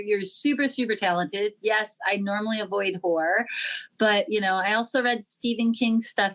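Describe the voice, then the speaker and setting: A woman's voice: mid-range voice, fairly bright tone, low-quality recording. A woman speaking casually as a supportive participant in a group discussion. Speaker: supportive listener joining the discussion. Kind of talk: casual chat.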